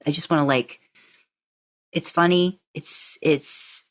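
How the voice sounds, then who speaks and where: A woman's voice: deep voice, steady tone, slow pace. A woman co-hosting a podcast, talking casually and emphatically as an enthusiastic fan. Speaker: podcast co-host.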